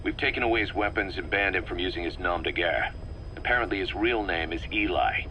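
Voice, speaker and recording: Give this to a bitter, suspicious military commander from a dramatic game. His voice is fairly low with a sharp, forceful edge, and he talks tersely and accusingly, over a slightly noisy tape recording. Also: brisk. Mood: matter-of-fact, frustrated. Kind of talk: dramatic video game voice acting.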